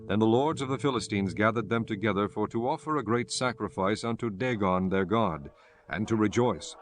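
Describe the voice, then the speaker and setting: A man's voice: lowish voice, normal speed. A man giving a scripted narration of scripture as narrator of an audio drama.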